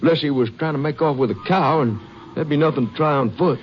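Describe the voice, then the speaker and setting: Actor as older country rancher man, defensive, rambling, folksy, raised pitch, vintage radio drama, muffled with faint noise. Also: fast, natural voice. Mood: earnest, puzzled. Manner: rambling, folksy rural talk. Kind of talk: 1950s radio western acting. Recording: muffled old radio recording, some noise.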